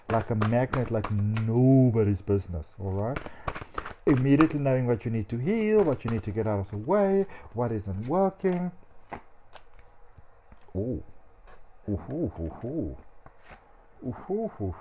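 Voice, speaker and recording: A man giving a casual tarot reading as a psychic. He talks in a chatty, animated way, with frequent fillers. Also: medium pitch, measured pace, pauses often, slight background noise.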